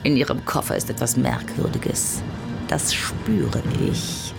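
Nosy, very deep-voiced old lady. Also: animated, slow pace, vocal fry, music in the background, exaggerated voice. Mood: indignant, insistent. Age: older. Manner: prying, indignant, insistent. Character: nosy, pushy elderly Saxon lady train passenger. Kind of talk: scripted audio drama acting.

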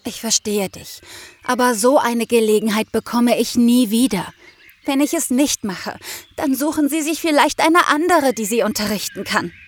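A woman's voice: a little high, normal speed, lightly acted. A woman playing an earnest young farmer's daughter, torn between duty and longing, in a scripted audio drama. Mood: pleading, earnest.

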